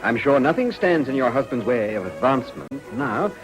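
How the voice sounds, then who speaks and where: Casting: insinuating English gentleman, upper-class accent, mid-pitched with supple, varied intonation, measured and formal, period drama. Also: wildly swooping pitch, faint music behind, rough recording. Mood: smooth, insinuating. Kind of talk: British period drama acting.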